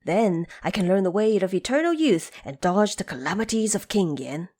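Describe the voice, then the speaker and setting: A woman's voice: mid-range voice, animated, moderate pace, a little mellow, lightly acted. A woman voicing a proud male monkey ruler in a storybook audio drama, earnest and regal in delivery. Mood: resolved.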